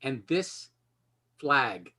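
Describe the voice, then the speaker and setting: A man's voice: mid-range voice, big pitch swings, very slow, sharp tone, breathy edge. A man hosting a quiz game show, reading out questions and bantering playfully with the players.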